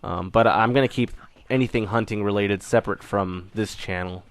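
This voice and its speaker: A man's voice: fairly low pitch, steady tone, moderate pace. A young man giving a casual channel update, rambling with many fillers and restarts while still getting over a cold. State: recovering from a cold. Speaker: gaming channel host.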